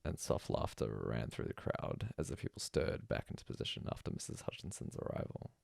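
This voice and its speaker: A man narrates a bedtime story in an extremely low voice full of creak. His pitch moves in a lively way from phrase to phrase, and the sound is dry and close. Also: a little fast, dry recording. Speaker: storyteller reading aloud.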